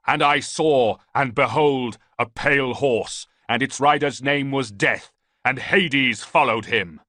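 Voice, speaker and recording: A man voicing a bearded, fanatical male priest who chants prophecy in a booming voice. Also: slow pace, pushed and bright, no echo. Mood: ranting.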